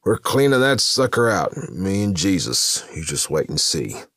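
A man voicing a slippery, boastful male drifter driving a car, brash and excited. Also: low voice, normal speed, dry recording, clean studio sound, pitched above the performer's own voice, heavily acted.

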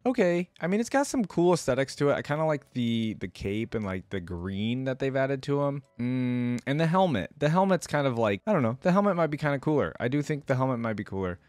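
A man's voice: medium pitch, very animated, a little fast, few pauses, a little mellow, dry recording. A young adult man gives casual, conversational game reaction commentary, thinking aloud into a close microphone. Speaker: reaction video host. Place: small indoor room at a desk. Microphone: close desk microphone with headset worn.

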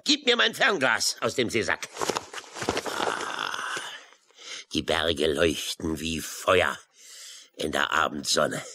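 An actor playing a blustering, excitable seafaring uncle in a German children's radio play, with exclamatory sailor talk. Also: male voice, a bass voice, takes it slowly, creaky, long pauses, a little hiss or hum, exaggerated voice. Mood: grumbling.

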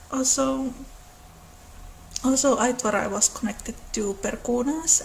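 A woman's voice: on the high side, gravelly, slight background noise, low-quality recording. A woman in her thirties with a Finnish accent, hosting her own mythology channel and explaining folklore to camera in a casual, thoughtful way. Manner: slow, thoughtful, with soft laughs and small restarts. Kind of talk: casual educational talk to camera. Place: living room by a window.

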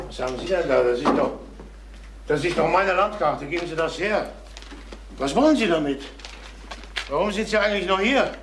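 An actor playing a troubled middle-aged ex-salesman turned burglar in a crime radio drama, halting, confessional and repeating his words. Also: a little high, animated, background noise, natural voice. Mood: agitated. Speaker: former travelling salesman.

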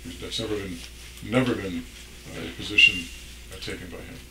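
A middle-aged man with a fairly low voice speaks as a lawyer, deliberate and careful. He is heard from a distance in an echoey meeting room. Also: sharp tone, slight background noise. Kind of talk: speaking for a client at a public meeting.

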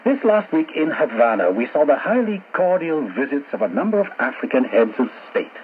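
Thin, tinny shortwave audio with clear static frames a male programme presenter. His delivery is formal and scripted, with lively swoops in pitch, as he addresses colleagues and listeners directly from a studio. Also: a little fast. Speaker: radio show presenter and executive producer. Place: radio studio, heard over a shortwave receiver. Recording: tinny, static-laden shortwave radio broadcast.

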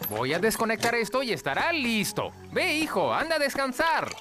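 An actor voicing a proud, fatherly penguin who thinks he is a tiger, encouraging and commanding in an animated cartoon. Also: mid-range voice, moderate pace. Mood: friendly, caring. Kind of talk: animated cartoon dub acting. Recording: loud music behind the voice. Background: loud music.

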